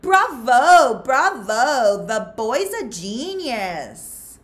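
A woman plays a scornful male camp counselor from a storybook. He mocks with sneering emphasis, pitched high and swinging widely. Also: very animated, very slow, heavily acted. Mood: mock delight.

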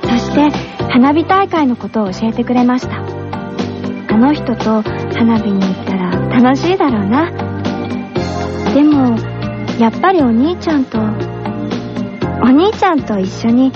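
A woman's voice: high voice, animated, measured pace, long pauses. A woman voicing a tender, earnest young girl confiding wistfully in her big brother in a radio drama. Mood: wistful longing. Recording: muffled old radio broadcast under loud music.

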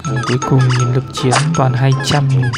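Gamer chatting casually over a mobile game, little exclamations, clear music in the background. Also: medium pitch, level tone, normal speed. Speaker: gaming video host. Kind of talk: casual gameplay commentary.

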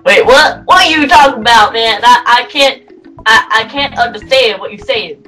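A woman's voice: on the high side, normal speed, bright, forceful tone, recorded from a distance, soft background music. A woman as a dazed, drawling surfer ape. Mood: groggy, confused. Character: dazed, laid-back hungover surfer ape. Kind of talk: amateur cartoon parody dub.

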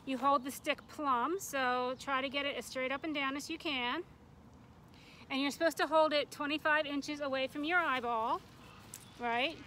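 A woman's voice: sits high, fairly even intonation, normal speed, sharp tone. A middle-aged American woman giving an informal, plain-spoken instructional demonstration outdoors in a park. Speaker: extension forester, instructor. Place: outdoors in a grassy, tree-lined park. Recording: outdoor ambient noise.

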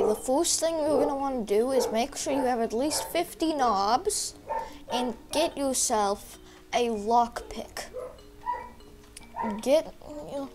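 A woman's voice: on the high side, a little mellow, a little hiss or hum. A young woman who is sick, talking through a game walkthrough in a rambling, hesitant way with lots of fillers. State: sick, voice a little rough. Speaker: gaming YouTuber. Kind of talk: casual gameplay walkthrough.

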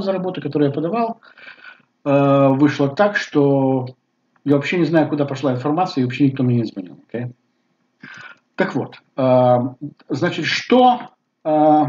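A man in his sixties with a Bulgarian accent, talking casually to camera at home, halting with many fillers. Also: fairly high pitch, a little mellow. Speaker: vlogger. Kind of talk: casual personal talk to camera. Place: living room at home. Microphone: webcam at desk. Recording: slightly dull webcam audio.

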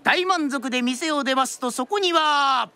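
A woman's voice: sits high, unhurried, background noise. A woman playing a storyteller narrating a period radio drama in traditional Japanese style. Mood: storytelling.

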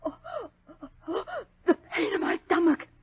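Shrill, wailing, domineering matron. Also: female voice, fairly even intonation, unhurried. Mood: moaning, agonised. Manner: shrill, melodramatic, bossy. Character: fat, domineering, hysterical woman wailing in agony. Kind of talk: 1940s radio drama acting. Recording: muffled 1940s radio recording.

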